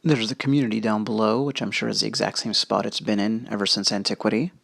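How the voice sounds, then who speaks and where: A man narrating his own travel footage over the video, relaxed and conversational, with fillers and asides. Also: fairly low pitch, fast, vocal fry, no room sound, clean studio sound. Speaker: history video host. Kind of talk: casual narrated travel commentary. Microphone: voice-over recorded separately.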